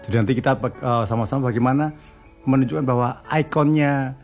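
A man with a Javanese accent co-hosting a casual radio chat, upbeat and agreeable, quick to affirm. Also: mid-range voice, darkish tone, soft background music. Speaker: radio co-host. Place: radio studio.

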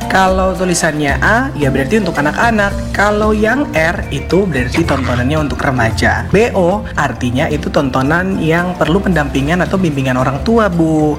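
A man playing a patient husband and father in a radio public service skit, explaining things slowly. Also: a little high, lightly acted.

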